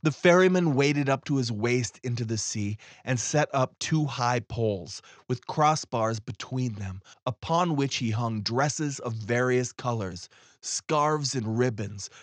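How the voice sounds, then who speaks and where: A man's voice: medium pitch, no room sound. A man reading a fairy tale aloud as scripted storybook narration. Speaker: audiobook reader.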